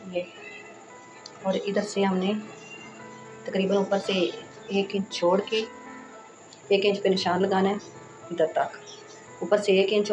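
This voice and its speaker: A woman hosting a sewing tutorial, speaking in a casual, reassuring way while she explains each step, with a hoarse voice from a sore throat. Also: mid-range voice, rough recording. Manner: chatty and reassuring, frequent "accha ji".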